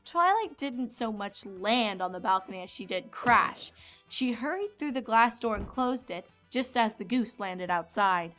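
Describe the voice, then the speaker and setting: A woman's voice: a little high, expressive, a little slow, a bit bright. A woman reading scripted storybook narration as the narrator. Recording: music behind the voice.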